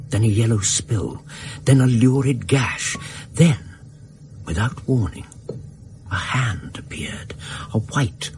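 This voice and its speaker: A sober gentleman telling a tense tale for radio. His voice is low and highly expressive, measured for suspense. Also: slight background noise. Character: sober gentleman narrator recalling a tense adventure. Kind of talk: dramatic first-person narration.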